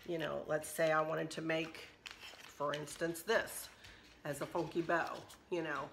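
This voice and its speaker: A middle-aged woman talks through her craft haul in a chatty, rambling way, her voice slightly hoarse and a bit lower than most women's. She is close to a camera mic in a home kitchen, with a faint hum of noise behind her. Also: pushed and bright. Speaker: craft vlogger. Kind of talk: casual chat and shopping haul.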